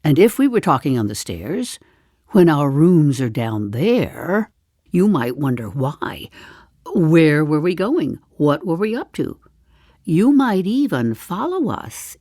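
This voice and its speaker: An older actress playing a wry, chatty seventy-five-year-old woman in a darkly comic stage monologue, musing to the listener with deliberate pauses. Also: low-pitched, very animated, mellow, no room sound, clean studio sound, exaggerated voice. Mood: confiding, wry. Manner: deliberate, dramatic pauses, dropping to confiding asides. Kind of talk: theatrical monologue, storytelling to the listener.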